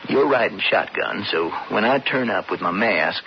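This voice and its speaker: An actor playing a scheming older brother turned stage robber in a radio western, with a folksy, persuasive American Western drawl. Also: male voice, lowish voice, lively intonation, normal speed, vocal fry. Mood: sly, persuasive. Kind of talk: radio western drama acting. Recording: muffled, noisy old radio broadcast.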